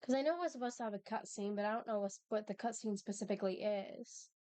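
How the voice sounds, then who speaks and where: A woman's voice: mid-range voice, fast, warm tone, no echo. A woman giving casual live gameplay commentary as a streamer, musing aloud in a rambling way. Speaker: game streamer. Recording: game music behind the voice.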